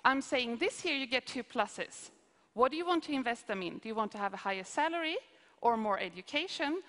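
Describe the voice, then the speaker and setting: A woman's voice: on the high side, animated, a little fast, fairly bright tone. A woman with a Swedish accent, a conference speaker answering audience questions on stage, explaining with hesitant restarts and examples. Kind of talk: live Q&A on stage. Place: conference hall. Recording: reverberant conference hall.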